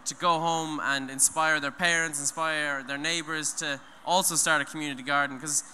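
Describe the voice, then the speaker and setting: A man's voice: fairly high pitch, fairly even intonation, normal speed, pushed and bright. A young man giving an earnest, rambling talk on stage into a handheld mic, full of restarts and "you know". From Ireland. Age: young adult. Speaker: speaker at an eco event. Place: large hall, on stage. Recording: long hall reverb, faint noise.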